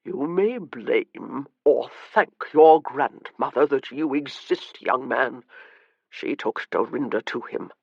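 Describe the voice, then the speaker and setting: A man voicing a frail, irritable, wealthy old gentleman in his later years, short of breath, with a rasping, haughty, slow and weary delivery. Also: medium pitch, no echo, highs cut off.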